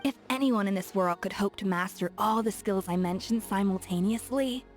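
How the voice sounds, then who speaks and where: A woman's voice: mid-range voice, moderate pace, close to an everyday voice. An actress voicing a confident, encouraging woman chef in a video game, with faint music behind. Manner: warm, encouraging and playful. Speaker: game character. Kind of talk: game voice acting.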